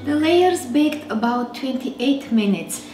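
Slow-talking Armenian woman cooking at home. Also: high-pitched, soft background music. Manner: relaxed, chatty, teasing asides to her child. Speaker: cooking show host. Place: large home kitchen. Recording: roomy kitchen with dish and cutlery clatter. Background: clinking dishes and utensils.

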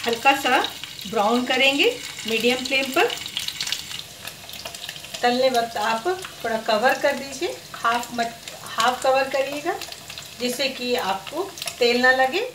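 A woman hosting her cooking channel, calmly talking through a recipe step by step and slipping English cooking words into Hindi. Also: sits high, slow pace, pauses often, reverberant, background noise.

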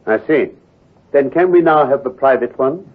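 An actor playing a shrewd, coolly sceptical detective, questioning with incisive authority in old-time radio drama style. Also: male voice, mid-range voice, moderate pace, lightly acted. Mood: probing. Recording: muffled, husky old radio recording.

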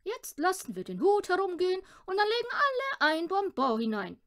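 Female reader as small pompous male conjurer, storybook; high-pitched, grandiose, drawn-out delivery, very animated. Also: heavily acted. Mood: showy, boastful. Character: pompous, self-satisfied little male magician showman.

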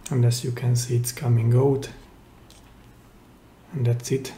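A man giving a hands-on, step-by-step repair tutorial in simple, careful phrases with pauses mid-sentence, recorded indoors at a workbench. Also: medium pitch, flat delivery, mellow, slight background noise. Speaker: DIY repair channel host. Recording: roomy, echoey indoor sound.

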